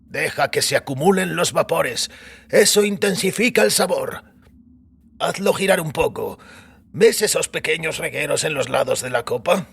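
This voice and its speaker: A man voicing a weary, ceremonious old priest in audio fiction, raspy and creaky, slow and savoring as he instructs. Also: fairly high pitch, pitched above the performer's own voice. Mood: savoring, instructive. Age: older.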